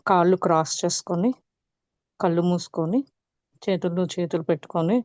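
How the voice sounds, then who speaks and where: Telugu lady guiding meditation, soft mellow voice, calm with long pauses, echoey muffled room. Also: on the low side. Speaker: meditation teacher. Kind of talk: guided meditation instruction.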